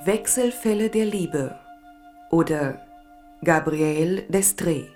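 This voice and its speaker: A woman's voice: fairly low pitch, takes it slowly, music in the background. A woman announcing a chapter title in a scripted radio drama.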